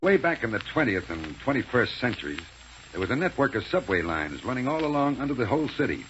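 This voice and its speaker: Bossy 1930s radio serial villain. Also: male voice, medium pitch, big pitch swings, fast, fairly bright tone. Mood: brisk, explanatory. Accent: American. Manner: bossy, curt, impatient. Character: commanding male villain gang leader, impatient and scheming. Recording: muffled, noisy 1930s radio recording.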